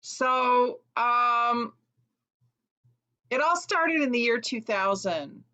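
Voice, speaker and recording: A woman's voice: a little high, animated, extremely slow, pushed and bright. A woman author raised in Chicago speaking Lithuanian first, giving an earnest, reflective online talk with frequent ums. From Chicago, USA. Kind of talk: online lecture presentation.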